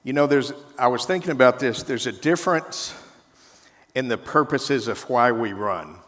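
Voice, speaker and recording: A man's voice: medium pitch, animated, moderate pace, a bit bright. A man hosting a conference, introducing the keynote in a reflective, conversational way as he builds his point with examples. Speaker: event host introducing the keynote. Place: conference stage in a large hall. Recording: long hall reverb.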